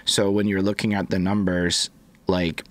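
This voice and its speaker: A man co-hosting a podcast, calmly analytical as he explains statistics. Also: low-pitched, moderate pace, no echo. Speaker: podcast co-host. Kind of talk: casual chat. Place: podcast studio reading a live chat.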